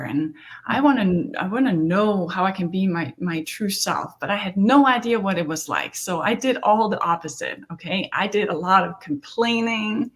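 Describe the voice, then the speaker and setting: A middle-aged woman from Denmark, a therapist and coach speaking earnestly in an interview and often asking "right?". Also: fairly low pitch. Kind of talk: conversational interview.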